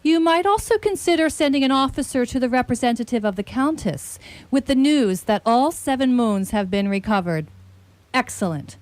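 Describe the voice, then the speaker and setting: A woman playing a commanding, coolly confident great detective in radio theatre, speaking crisply and deliberately. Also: sits high, expressive, a little mellow, no echo. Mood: assured.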